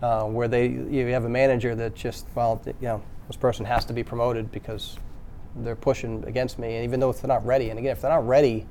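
A man's voice: medium pitch, little pitch movement, quick pace, few pauses, dark tone, a little hiss or hum. A man, a construction company president, speaking candidly in a panel discussion, often ending points with a questioning tag. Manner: candid, earnest, many fillers and tag questions.

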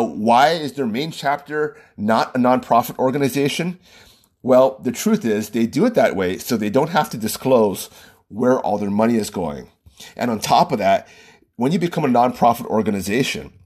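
Man from Hawaii, husky, animated delivery, casual opinion monologue. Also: medium pitch. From Hawaii, USA. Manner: loose, conversational, repeats and restarts phrases.

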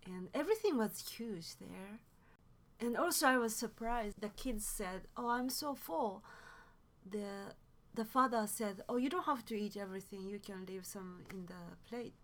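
Soft-spoken woman with a Japanese accent. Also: mid-range voice, a little slow. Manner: hesitant, self-correcting, soft asides. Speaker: podcast guest. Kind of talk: casual conversational storytelling.

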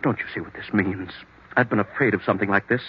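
A man's voice: on the low side, fast talker, breathy edge. An actor playing an anguished, hesitant husband who fears his own memory lapses, in old-time radio drama style. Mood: desperate. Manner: hesitant, troubled, pleading. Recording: dull, noisy vintage radio recording.

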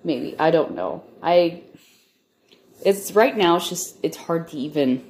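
A woman in her thirties from the Midwest, hoarse and stuffed up from a cold, giving a rambling casual update to her podcast listeners with lots of ums. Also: deep voice, lively intonation, a little slow, a little mellow. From Nebraska, US Midwest. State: hoarse, congested. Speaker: podcast host.

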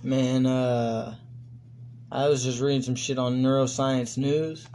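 A man co-hosting a casual podcast, joking, laughing and swearing a lot while high. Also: level tone, slow pace, a little mellow, a little hiss or hum. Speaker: podcast co-host. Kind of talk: casual crude chat.

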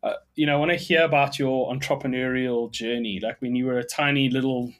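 Male podcast host, mid pitch, friendly interviewer. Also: steady tone, brisk. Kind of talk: interview hosting.

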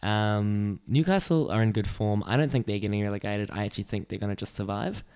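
A man's voice: fairly low pitch, a little fast, darkish tone, smooth. A young man co-hosting a casual sports podcast, joking and laughing often as he chats. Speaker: podcast co-host.

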